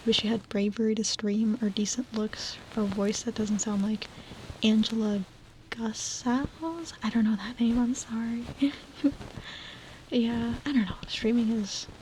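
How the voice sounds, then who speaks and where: A young woman whispering softly and nervously into a close microphone, reading chat and repeating calming trigger words. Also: on the high side, measured pace, a little hiss or hum, low-quality recording. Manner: soft whisper, nervous and giggly. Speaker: ASMR streamer. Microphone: close ASMR microphone.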